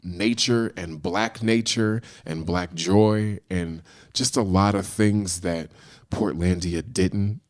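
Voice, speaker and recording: A middle-aged man with a low voice, a podcast guest who tells his stories animatedly and acts out the dialogue. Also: takes it slowly. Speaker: guest, screenwriter and actor. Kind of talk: casual storytelling in a podcast interview.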